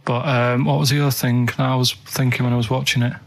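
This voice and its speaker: A man's voice: medium pitch, level tone, fast, creaky. A man chatting casually on a comedy radio show, telling stories in a rambling, naive and earnest way. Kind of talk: casual comic radio chat.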